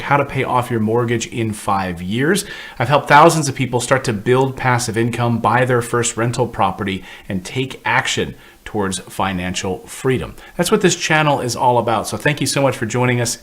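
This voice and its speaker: A man's voice: medium pitch, normal speed. A middle-aged man hosting an interview show, upbeat and chatty as he introduces his guest and asks questions. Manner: energetic, plugs channel, jokes about names. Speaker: show host.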